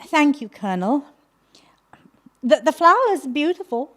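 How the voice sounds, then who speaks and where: A woman's voice: high voice, very animated, measured pace, fairly bright tone, heavily acted. An actress playing a wary, deferential young captive woman in an audio drama, speaking hesitantly and politely. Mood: grateful, deferential.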